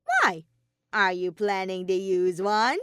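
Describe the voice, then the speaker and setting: A woman's voice: mid-range voice, lively intonation, takes it slowly, a bit bright, smooth, no room sound, clean studio sound. A woman voicing a mischievous, sadistic male robot bear in an audio story, flippant and taunting. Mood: nonchalant, taunting. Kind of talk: fan fiction audio story character voice.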